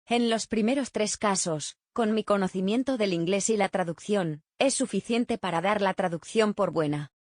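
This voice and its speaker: A woman reading written answers aloud in a plain, steady way. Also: medium pitch, moderate pace, hardly any pauses, no room sound, clean studio sound. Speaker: reader of survey answers. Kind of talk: scripted reading.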